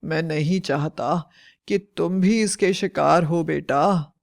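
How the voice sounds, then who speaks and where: A woman voicing a grave, caring middle-aged doctor father in a storybook tale, explaining things in a measured way. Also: low voice, gravelly, exaggerated voice. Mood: grave, concerned.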